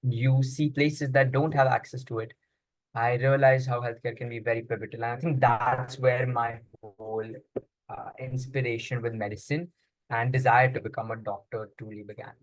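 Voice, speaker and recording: A young man raised in Congo talks through his answers with a steady, mid-range voice. He explains things patiently, often pausing on fillers and restarting his sentences. The sound is close and dry, with no room echo. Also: medium pitch. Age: young adult. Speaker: guest. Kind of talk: casual interview answers.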